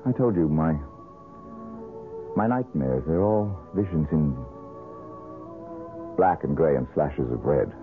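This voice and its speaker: An actor playing a bitter, brooding, tormented painter in 1970s radio drama style, sardonic and given to dramatic pauses. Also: male voice, extremely low pitch, lively intonation, heavily acted. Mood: brooding, haunted. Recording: narrow-band old radio recording, music.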